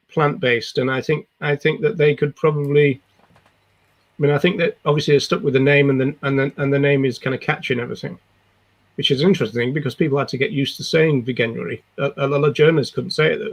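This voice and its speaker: Older guy, croaky from a cold, lots of restarts, webcam mic at home. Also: a little high. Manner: rambling, hesitant, lots of restarts. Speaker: co-host. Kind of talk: casual video-call discussion. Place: home room.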